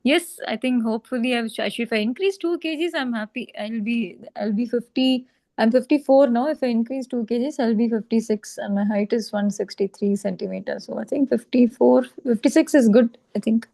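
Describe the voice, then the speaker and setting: A woman's voice: on the high side, fairly quick, creaky, mellow. A woman, a client in a nutrition program, speaking politely on a video call with many fillers and restarts. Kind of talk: casual online meeting talk.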